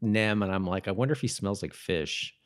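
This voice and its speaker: A man's voice: fairly low pitch, a little fast. A man co-hosting a podcast in casual TV recap chat, talking in a rambling, self-correcting way. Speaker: podcast co-host.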